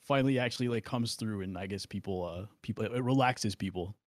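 Deep-voiced man, relaxed and soothing. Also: brisk, dry recording, clean studio sound. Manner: relaxed, soothing, says "like" often. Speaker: streamer guest. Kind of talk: casual interview chat. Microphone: studio mic with mixer.

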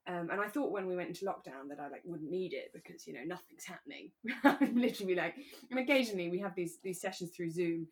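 A woman co-hosting a relaxed conversational podcast, talking in a candid, reflective and careful way. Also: fairly low pitch, fast, hardly any pauses. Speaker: podcast co-host.

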